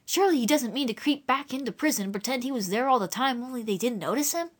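Woman narrator as a coaxing girl; lifted pitch, gently persuasive. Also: expressive, quick pace.

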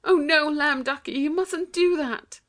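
A woman's voice: high-pitched, measured pace, fairly bright tone. A woman playing an anxious, motherly young girl in a storybook, earnest and fretful as a protective sister. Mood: pleading. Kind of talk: storybook dialogue acting.

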